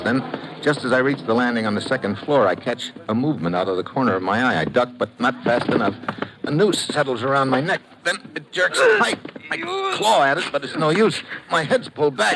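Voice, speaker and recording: A man's voice: medium pitch, close to an everyday voice, poor audio. An actor playing a tough, wry American investigator who narrates his case in clipped slang, in 1950s radio style. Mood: strained. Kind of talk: 1950s radio detective acting.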